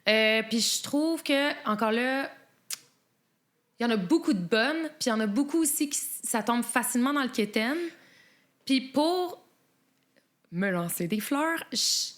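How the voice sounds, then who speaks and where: Bright, high Québécois woman. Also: expressive. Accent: Québécois French. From Quebec. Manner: chatty, quick, fillers like "tu sais". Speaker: guest singer. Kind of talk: casual interview chat.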